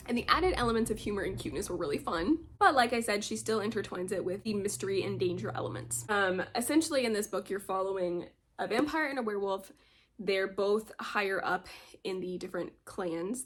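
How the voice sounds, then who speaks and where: A woman's voice: medium pitch, slight background noise. A woman vlogger chatting casually about books she read, talking in a rambling way with little laughs while under the weather with a slight cold. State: slight cold, low energy. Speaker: book vlogger. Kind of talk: casual book review chat. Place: home, at a desk with mugs.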